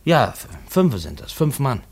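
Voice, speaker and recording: A man acting an eager, plain-spoken railway worker in a 1950s radio play, explaining keenly with halting repeats. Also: medium pitch, sing-song, breathy edge. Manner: halting, repetitive, keen explaining. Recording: 1950s radio drama recording.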